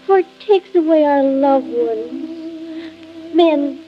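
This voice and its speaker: An earnest, high-pitched child played by a woman recites a slow, pleading plea against war. It comes off a dull vintage country record, with music behind.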